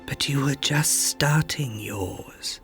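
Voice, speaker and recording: A man voicing a weak, gentle dying fisherman in a soft, breathy whisper for a storybook. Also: medium pitch, soft background music. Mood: tender, weak. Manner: soft, faint, slow.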